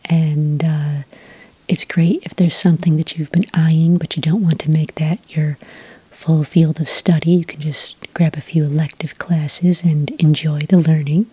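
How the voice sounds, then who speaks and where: A breathy, whispering witch played by a woman works the enrollment desk in an ASMR roleplay. Her voice is low and very soft-edged, with a dark, mellow tone. She is patient, gentle and helpful, never rushing her questions. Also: little pitch movement, exaggerated voice, low-quality recording. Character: friendly, patient adult witch working as academy enrollment clerk.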